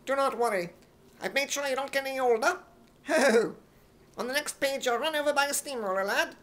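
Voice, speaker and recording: A man's voice: extremely high pitch, fairly quick, a bit bright. A man voicing a pompous, eccentric author in an imitation British accent for radio comedy. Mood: pompous, gloating.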